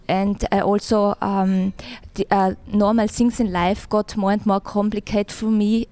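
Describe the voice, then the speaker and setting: A woman in her thirties from Austria, a native German speaker with an Austrian German accent, giving a hesitant testimony in English with many pauses and fillers. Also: mid-range voice, warm tone. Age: 30s. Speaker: church visitor giving testimony. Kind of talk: interview testimony.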